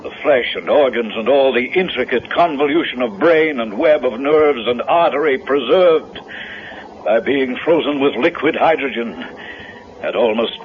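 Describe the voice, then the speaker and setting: An actor playing a fanatical mad doctor in a 1970s radio drama, formal and grandiose with a soothing menace. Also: male voice, mid-range voice, normal speed, close to an everyday voice. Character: cold, fanatical male mad doctor, soothing then megalomaniac. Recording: muffled vintage radio recording, faint noise.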